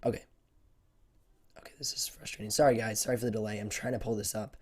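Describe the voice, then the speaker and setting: Hoarse man hosting a tech livestream. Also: fairly low pitch, steady tone, quick pace. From United States. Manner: rambling, many fillers and restarts. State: hoarse from a cold. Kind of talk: casual livestream Q&A.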